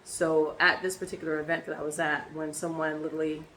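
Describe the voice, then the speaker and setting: A woman with a hoarse voice from laryngitis, chatting casually in a personal vlog and rambling with many ums and restarts. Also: low voice, level tone, quick pace, sharp tone, a little hiss or hum. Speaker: vlogger.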